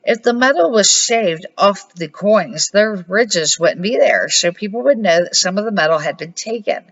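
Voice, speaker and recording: A woman's voice: medium pitch, lively intonation, normal speed, fairly bright tone, dull audio. An older woman reading the part of a knowing, instructive old grandmother in a children's storybook. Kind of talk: storybook read-aloud.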